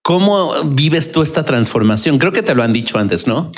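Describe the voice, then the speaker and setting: A man's voice: on the high side, expressive, moderate pace. Friendly podcast co-host, a man. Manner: friendly, laughs often. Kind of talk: casual podcast hosting.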